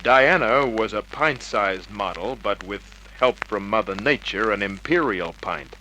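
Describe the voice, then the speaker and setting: An actor playing a cocky, wisecracking private detective with a brisk, slangy, hard-boiled delivery in an old radio drama. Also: male voice, on the low side, lively intonation, sharp tone, low-quality recording. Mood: wry narration. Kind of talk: 1950s radio detective acting and narration. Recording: old radio recording, faint noise.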